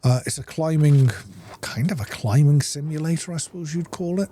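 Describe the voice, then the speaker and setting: A man's voice: a little high, moderate pace, mellow, a little hiss or hum. A man giving casual let's-play commentary, talking viewers through each step in an informal, instructional way. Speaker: game streamer and playthrough host.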